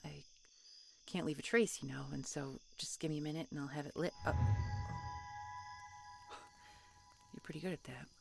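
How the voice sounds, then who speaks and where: A woman playing a guarded, tsundere dragon hunter in an intimate fantasy audio roleplay, halting and grudging, often dropping to a hushed delivery. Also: low-pitched, fairly even intonation, gravelly, loud music behind the voice. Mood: awkward, hesitant. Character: prickly tsundere female dragon hunter, guarded but softening.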